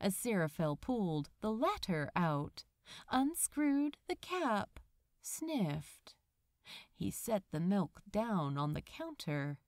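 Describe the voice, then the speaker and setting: A woman narrating a story expressively, with deliberate, dramatic phrasing. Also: mid-range voice, sing-song, a little mellow, dry recording, clean studio sound. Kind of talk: expressive scripted fan fiction narration.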